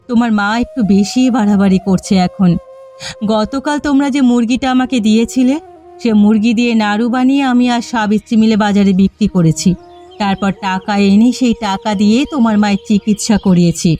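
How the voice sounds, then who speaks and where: A woman playing a kindly, frank village auntie in an animated family drama, speaking in a measured way. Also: medium pitch, faint music behind, natural voice. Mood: frank, reproving.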